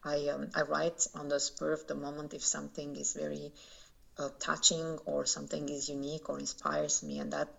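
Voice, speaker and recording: Low, gravelly woman with halting speech. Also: level tone, a bit bright. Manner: thoughtful, halting, many fillers and restarts. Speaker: poet and translator. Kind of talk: interview answers. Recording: dull lo-fi recording.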